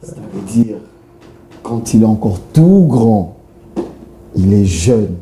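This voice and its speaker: A man's voice: on the low side, expressive, mellow. A man hosting a TV talk show, playful and laughing as he poses riddles to his guests. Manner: playful, laughs often, repeats questions slowly. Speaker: TV show host. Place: TV studio. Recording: echoey studio, faint background noise.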